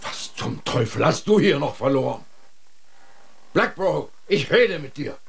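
An actor playing a stern, sarcastic polar expedition commander in a radio drama, with a rough, commanding voice. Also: male voice, fairly high pitch, big pitch swings, measured pace, full character voice. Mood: angry, sarcastic.

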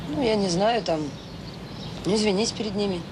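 Gentle children's home director, played by a woman, noisy recording. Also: medium pitch, moderate pace, natural voice. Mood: gentle, careful. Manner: gentle, tactful, hesitant when explaining. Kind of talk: naturalistic radio drama acting.

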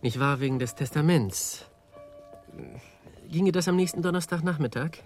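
An actor playing a polite, calculating nephew in a German radio play, slightly hesitant. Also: male voice, a little high, normal speed, darkish tone, faint music behind, natural voice. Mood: smoothly polite. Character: adult nephew Eberhard, polite, smooth, calculating heir.

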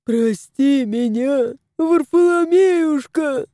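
A woman's voice: high-pitched, expressive, slow, mellow, no room sound, studio quality, pitched above the performer's own voice. A woman voicing a tearful little bearded male house spirit who wails and pleads. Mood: sobbing. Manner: wailing, pleading.

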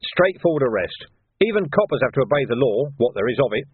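A phone-like, narrow recording holds a man acting out a stolid police sergeant with a husky voice. He plays dim on purpose, deadpan and mock-polite, an unshakable copper from an audiobook. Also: medium pitch, fast, no room sound. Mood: stern. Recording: narrow band, phone-like sound.

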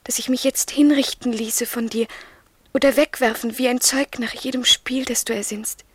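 An actress playing a devoted, submissive young woman in love, speaking in a fervent, breathy hush in a radio play. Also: fairly high pitch, steady tone, moderate pace, dry recording. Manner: yielding, fervent, often whispering. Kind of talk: 1970s radio drama acting.

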